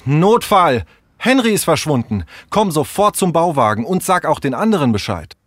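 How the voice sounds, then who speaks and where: A man's voice: mid-range voice, expressive, moderate pace, dry recording, pitched above the performer's own voice, natural voice. A man voicing a caring, loyal schoolboy who takes charge in a children's story, earnest and emphatic. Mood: urgent.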